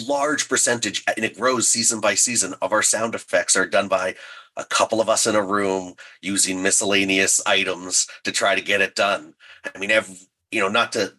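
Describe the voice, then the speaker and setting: A man's voice: lowish voice, pushed and bright, slightly rough. A man speaking as an interview guest, casual and playful, with a self-deprecating way of talking. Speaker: guest, audio drama creator.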